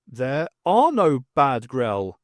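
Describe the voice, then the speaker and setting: A man's voice: mid-range voice, wildly swooping pitch, drawn-out words, dry recording, heavily acted. A man voicing a literal-minded, haughty alien creature with stilted, formal, declarative speech in an audiobook. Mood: solemn, certain.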